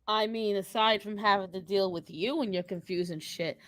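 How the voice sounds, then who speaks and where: A woman voicing a brash, foul-mouthed, quick-tempered young witch character in improvised live stream banter. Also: mid-range voice, steady tone, a bit bright, lightly acted. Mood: playful, sardonic. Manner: sassy, foul-mouthed, combative.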